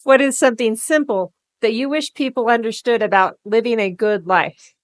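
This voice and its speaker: A woman moderating a devotional panel, posing questions in a friendly, brisk way. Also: fairly high pitch, no echo.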